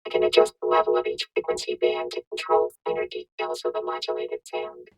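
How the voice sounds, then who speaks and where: A woman speaking through a vocoder that makes her voice a synthetic, robotic synthesizer tone, in slow demonstration phrases. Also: a little mellow, faint music behind, low-quality recording. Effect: vocoder robot filter.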